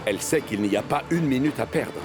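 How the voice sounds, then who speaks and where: A man's voice: medium pitch, expressive, fairly quick. A man narrating a scripted children's story as a storyteller. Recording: music behind the voice.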